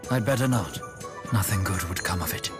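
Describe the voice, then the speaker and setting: An actor playing a courteous, measured elderly gentleman advisor with formal, polite diction in a video game. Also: low-pitched, loud music behind the voice, natural voice. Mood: reserved.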